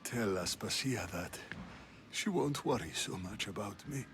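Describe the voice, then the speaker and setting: Slow, ailing old statesman. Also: on the low side, animated, a bit bright, a little hiss or hum. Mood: weary, ashamed. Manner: grave, labored, halting delivery. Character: ailing, proud, weary Athenian statesman, older man. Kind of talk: video game cutscene acting.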